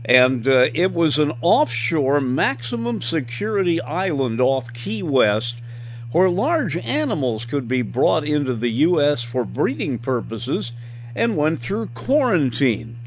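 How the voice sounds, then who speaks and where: Male radio host, middle-aged, lively pitch swings, journalist's storytelling delivery, light background noise. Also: takes it slowly. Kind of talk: radio feature narration and interview.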